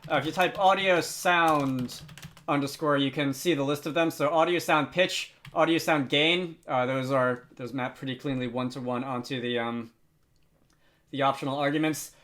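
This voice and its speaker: A man hosts a software tutorial with a mid-pitched voice. He explains things off the cuff, often pausing and hesitating as he thinks aloud. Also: steady tone. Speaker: tutorial host. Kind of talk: unscripted software tutorial narration.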